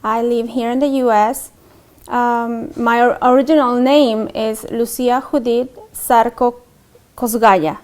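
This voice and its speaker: A woman from Mexico who speaks English with a Mexican Spanish accent. Her voice sits a little high. She tells her own story slowly and haltingly, stopping often on fillers and false starts. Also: steady tone. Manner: hesitant, many fillers and restarts. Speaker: interviewee. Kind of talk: documentary interview, personal story.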